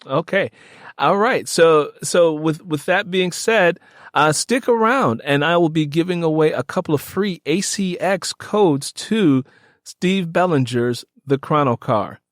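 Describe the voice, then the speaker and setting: A man's voice: fairly high pitch, expressive, unhurried, no echo, clean studio sound. A man who works as a podcast host and storyteller, speaking to listeners. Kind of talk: conversational interview and scripted audio drama narration.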